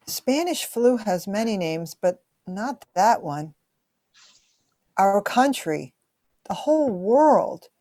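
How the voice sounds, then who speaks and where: A woman's voice: medium pitch, vocal fry, dark tone, no echo, clean studio sound, full character voice. A woman acting in a radio-style play as a grave, indignant young woman from 1920.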